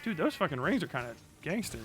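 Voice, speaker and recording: A man's voice: fairly high pitch, very animated, fast, fairly bright tone, faint music behind. A man chatting casually on a livestream as he plays a video game, rambling in slangy, profane language. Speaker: live streamer.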